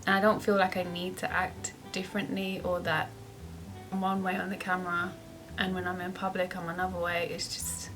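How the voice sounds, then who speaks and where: A woman's voice: on the low side, monotone, fairly quick, over music. A young woman vlogger who answers viewer questions in a chatty, upbeat way and laughs often. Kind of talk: casual Q&A vlog.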